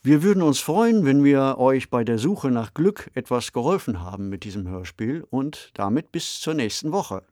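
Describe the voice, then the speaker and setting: Measured man podcast host. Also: mid-range voice, animated, darkish tone, dry recording, clean studio sound. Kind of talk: conversational radio discussion of science fiction.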